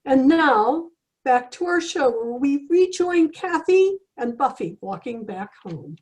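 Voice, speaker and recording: A woman announces in old-time radio style. Her voice is high, and she reads the script at a slow, deliberate pace. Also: sing-song, darkish tone.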